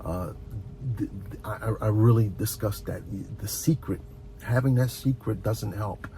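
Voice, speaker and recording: A middle-aged man giving an informal, heartfelt advice monologue as a host, speaking earnestly and reflectively, often halting and repeating words. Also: on the low side, dark tone, a little hiss or hum. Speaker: host of a relaxation and advice channel.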